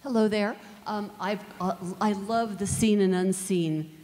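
A woman's voice: mid-range voice, measured pace, warm tone, a little hiss or hum. A woman in the audience asking a friendly question into a handheld microphone. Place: event hall with a live audience. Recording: hall reverb, audience murmur, handheld mic.

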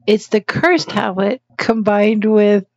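A woman's voice: medium pitch, steady tone, slow pace, no room sound, highs cut off. A woman co-hosting a podcast in casual, agreeable conversation. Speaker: podcast co-host.

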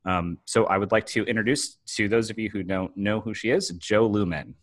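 Deep-voiced man hosting a podcast. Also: normal speed. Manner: hesitant, stumbling over words. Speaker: podcast host. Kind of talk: casual podcast interview.

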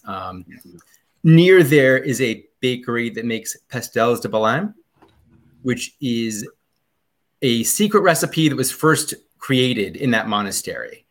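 Man rambling through a travel story. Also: mid-range voice. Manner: rambling, many ums and restarts. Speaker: podcast guest. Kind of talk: casual travel chat.

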